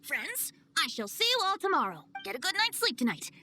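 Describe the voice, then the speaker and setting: Woman voicing a bright, theatrical boyish bard. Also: sits high, sing-song, fairly quick, soft background music, full character voice. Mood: grandiose. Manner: flowery, theatrical storytelling. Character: whimsical, theatrical boyish male bard, breezy and playful. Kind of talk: animated video game voice acting.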